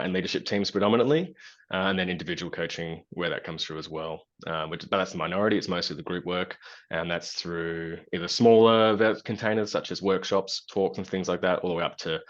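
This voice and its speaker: A man's voice: low voice, fairly quick, muffled recording. A man in his thirties, a guest on a video call, talking through ideas thoughtfully with many fillers and restarts. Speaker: guest, business founder. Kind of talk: relaxed conversational interview. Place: small plain room indoors.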